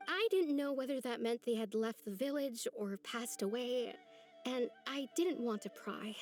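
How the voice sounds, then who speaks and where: A woman playing a gentle, lonely young village woman in a video game, speaking in an earnest, soft, hesitant way. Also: on the high side, unhurried, faint music behind, close to an everyday voice. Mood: pensive.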